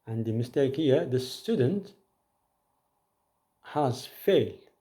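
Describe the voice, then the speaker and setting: A man teaching an English grammar lesson, reading each example sentence and then repeating it in a slow, deliberate way. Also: mid-range voice, lively intonation, dark tone.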